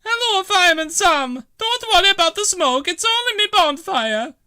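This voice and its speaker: A man voicing an excitable, carefree Italian cafe woman in a high falsetto for a children's story. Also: moderate pace, no room sound, clean studio sound, heavily acted. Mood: breezy.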